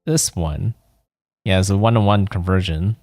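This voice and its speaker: Male livestreamer, fairly low voice, loose think-aloud chat during gameplay, music behind. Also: moderate pace, a little mellow. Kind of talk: casual chat while playing a game.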